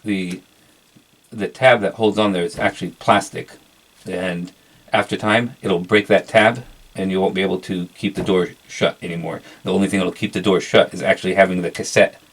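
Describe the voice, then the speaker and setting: A man giving a casual product review in an unhurried, halting show-and-tell way. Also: sits low, steady tone.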